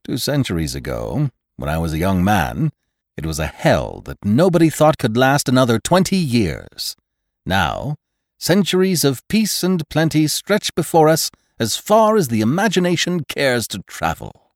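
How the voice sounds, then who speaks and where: An exuberant old doctor acted out by a male narrator lectures in grand, emphatic style. His pitch climbs and leaps as he preaches order like a pompous storybook orator, in a dry, close sound. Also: wildly swooping pitch, measured pace, no room sound. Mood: rhapsodic.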